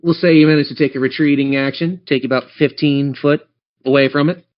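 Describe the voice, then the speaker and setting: A man's voice: fairly high pitch, fairly even intonation, brisk, highs cut off. A man running a tabletop game as game master, narrating the action and chatting encouragingly with players.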